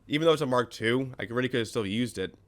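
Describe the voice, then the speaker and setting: A man's voice: medium pitch, fast. A man hosting a casual game commentary, his voice slightly scratchy from a recent cold. He rambles through explanations with many ums and self-corrections. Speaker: gaming video host.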